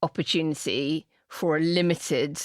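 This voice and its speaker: A woman with a low voice takes part in an informal political discussion as a policy commentator. She explains her points frankly, and the sound is dry, with very little room around it. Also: steady tone, unhurried, dry recording.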